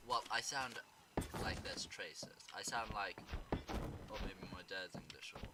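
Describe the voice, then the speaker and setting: A man's voice: sits low, unhurried, very noisy. A teenage boy from South Africa chatting casually while he plays a game, rambling and sarcastic, swearing offhandedly. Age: teens. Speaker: gaming YouTuber.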